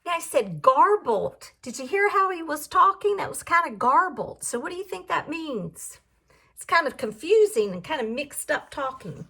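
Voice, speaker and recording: A woman reading a picture book aloud like a teacher, in an expressive sing-song way, pausing to question and explain words to young listeners. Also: sits high, vocal fry.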